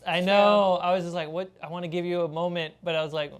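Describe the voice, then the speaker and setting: A woman's voice: fairly low pitch, steady tone, quick pace. A woman who hosts and runs a tabletop game, talking casually after the session. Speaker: game master and host. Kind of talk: casual post-game discussion.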